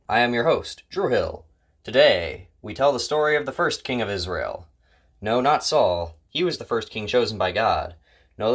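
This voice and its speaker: A man hosting and narrating a story in a dramatic, scripted style. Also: fairly low pitch. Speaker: host and narrator.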